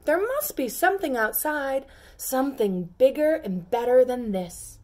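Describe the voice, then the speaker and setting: A woman's voice: sits high, very animated, measured pace, darkish tone, voice pushed up, exaggerated voice. A woman reading aloud, voicing a boastful young male pea who wants out of his shell. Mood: eager.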